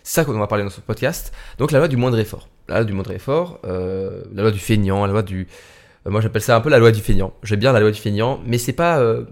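A man's voice: lowish voice, fairly quick, darkish tone. A young man hosting his student podcast, talking casually and tiredly late at night, with lots of hesitations and restarts. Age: young adult. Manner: hesitant, many fillers and restarts. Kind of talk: casual solo podcast talk.

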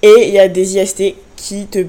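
A woman's voice: medium pitch, level tone, a little fast, mellow. A young woman from France hosting a podcast, chatting casually and giving big-sister advice, dropping English slang into her French. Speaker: podcast host. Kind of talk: casual confessional podcast talk.

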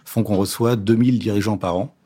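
A low-voiced man in his sixties talks quickly in a husky tone. He is a company president on a business radio show and answers with confidence, though fillers break up his speech. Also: steady tone, dark tone. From Paris, France. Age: 60s. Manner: confident, hesitant with frequent fillers.

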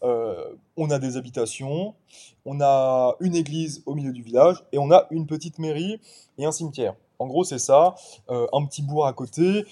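A man in his twenties from the Paris suburbs, a novelist talking through his book in a casual interview, thoughtful and pausing often as he explains. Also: a little high, mellow. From Paris suburbs, France. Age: 20s. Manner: thoughtful, explains at length with frequent pauses. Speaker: novelist guest.

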